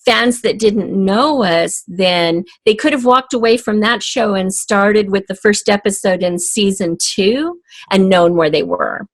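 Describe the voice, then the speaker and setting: Woman, slow pace, dry recording, mid pitch, conversational interview guest. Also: dry recording. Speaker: interview guest, audio drama writer.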